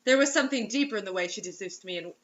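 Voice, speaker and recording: A woman's voice: mid-range voice, lively intonation, rapid-fire, muffled recording. A woman giving a theatrical, giggly, mocking read-aloud of amateur fiction, with asides. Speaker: amateur reader of bad fiction.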